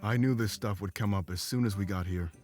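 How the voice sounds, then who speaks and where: A man's voice: on the low side, brisk, darkish tone, faint music behind, lightly acted. A man playing a guarded but loyal adventurer in a visual novel, talking earnestly and plainly. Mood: guarded, reassuring. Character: guarded, loyal male adventurer, plain-spoken travel companion. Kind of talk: scripted visual novel dialogue.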